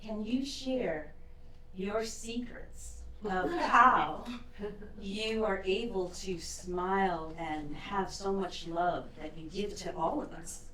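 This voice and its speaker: Woman, lowish voice, slow earnest question, distant, roomy hall. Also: fairly bright tone. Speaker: audience member asking a question. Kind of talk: audience question at a public event. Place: event hall. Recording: echoey hall from far off, faint noise.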